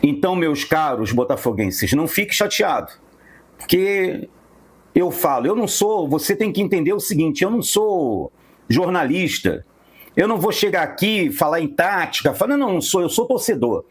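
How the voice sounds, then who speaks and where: Brazilian male football fan, high swooping pitch, husky, indignant and emphatic rant delivery. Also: very animated, normal speed.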